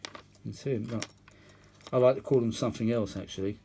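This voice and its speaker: A man's voice: medium pitch, fairly quick, dark tone, slight background noise. A man giving casual, rambling hands-on commentary as a repair hobbyist, matey and full of tag questions. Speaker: vintage audio repair hobbyist and video host. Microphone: phone held or propped at the workbench.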